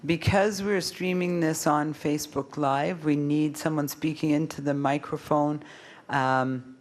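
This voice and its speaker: A man's voice: on the high side, steady tone, measured pace. A man moderating a public meeting, polite and a little apologetic. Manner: apologetic, bilingual.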